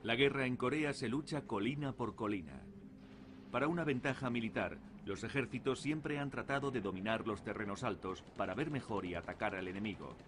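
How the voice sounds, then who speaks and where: A man's voice: medium pitch, a little fast, noisy background. Man narrating a war documentary.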